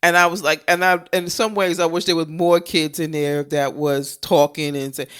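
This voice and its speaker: A woman's voice: low voice, fairly even intonation, normal speed, clean tone. A woman co-hosting a casual movie review, talking conversationally and repeating her points for emphasis. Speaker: co-host of a movie review show.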